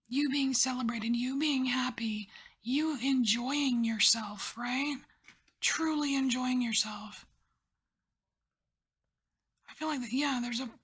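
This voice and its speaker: A woman giving a whispered tarot reading, chatty, rambling and encouraging, her voice breathy and hushed throughout. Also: a little high. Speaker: tarot reader.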